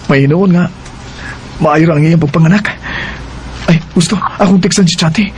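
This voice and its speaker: An actor playing a smooth-talking, evasive young two-timer in a radio drama, stalling in a Cebuano accent. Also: male voice, fairly high pitch, unhurried, slight background noise, close to an everyday voice. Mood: musing.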